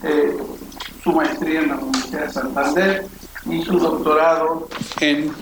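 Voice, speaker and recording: A man giving a formal academic introduction as host, speaking in a halting, hesitant way. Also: on the high side, flat delivery, background noise. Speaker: host introducing a guest lecturer.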